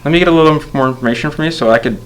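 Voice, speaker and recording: A man's voice: fairly high pitch, very fast, lightly acted, poor audio. A man playing a casual, reassuring police officer in a training role-play, with an informal way of talking. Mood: helpful, reassuring.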